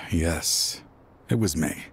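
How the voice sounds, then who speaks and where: Casting note: a regretful older scholar, deep husky voice, slow and heavy. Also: male voice, a little hiss or hum, exaggerated voice. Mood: resigned. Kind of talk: video game dub.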